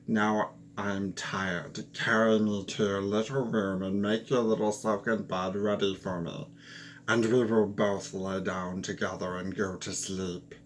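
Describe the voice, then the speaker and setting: A man voicing a demanding enchanted frog prince who talks low and draws out his words. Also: level tone, measured pace, a little hiss or hum, lightly acted. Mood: drowsy. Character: demanding enchanted male frog, slow and sulky.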